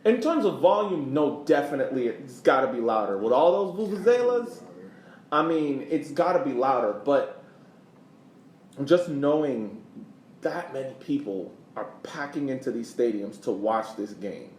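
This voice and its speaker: Guy on a soccer podcast, mid-pitched, explains stuff haltingly, repeats himself, roomy echoey sound. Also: animated, darkish tone. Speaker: podcast co-host. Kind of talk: casual sports podcast discussion. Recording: roomy echo, clear background noise.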